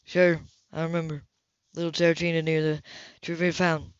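A woman's voice: low voice, flat delivery, brisk, clean tone, dry recording, pitched below the performer's own voice, natural voice. A woman voicing a cocky, flirtatious male racer in an audiobook, casual and teasing. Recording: dull lo-fi recording.

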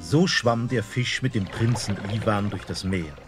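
A man narrating a fairy-tale radio play in an expressive storytelling manner. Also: fairly low pitch, unhurried, over loud music.

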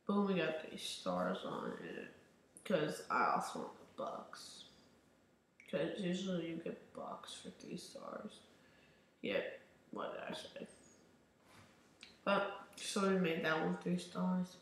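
A young man talks viewers through his game in a loose, rambling way, drawing his words out slowly. His voice is wearing thin, as if he is losing it. The recording rings with heavy room echo. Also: high voice, gravelly, slight background noise. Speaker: gaming channel host. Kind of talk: casual gameplay commentary. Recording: very echoey room.

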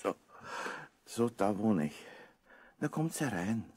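A weary, feverish composer in an audio drama, with an Austrian accent. His low voice is very husky and swings widely in pitch. He speaks haltingly, frightened and despairing, repeating himself as his strength drains. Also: very animated, a little mellow, exaggerated voice. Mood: weary, grateful. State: feverish, weak. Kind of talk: German audio drama acting.

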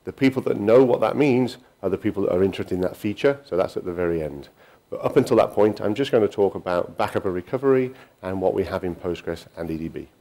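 A middle-aged man giving a technical conference talk in a hall, pausing often with ums and restarting sentences. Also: low-pitched, animated, gravelly, warm tone. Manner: halting, many ums and restarts. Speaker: conference speaker.

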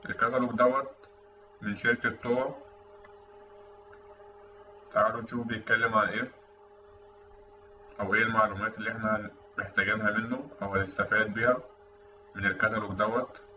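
A man's voice: fairly low pitch, level tone, fairly quick, long pauses, pushed and bright. Egyptian man teaching in a very echoey room. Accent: Egyptian Arabic. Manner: explanatory, conversational. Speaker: instructor. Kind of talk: informal technical tutorial over on-screen documents. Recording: roomy, distant mic with faint noise, dull sound.

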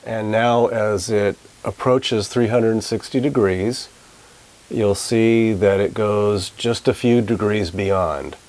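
Casting: male instructor, 40s, slightly low voice, clear explanatory demonstration style. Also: takes it slowly, a little mellow. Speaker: physics lab instructor. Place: small anechoic chamber, indoors.

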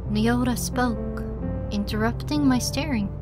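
A woman narrates a first-person story in a fairly high voice. She takes her time, measured and dramatic, while music plays clearly behind her. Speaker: audiobook narrator.

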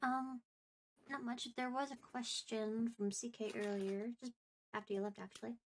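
A woman's voice: a little high, little pitch movement, normal speed, a little hiss or hum. A woman co-hosting a livestream, chatting casually and picking her words carefully and hesitantly. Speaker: streamer and co-host answering viewer questions.

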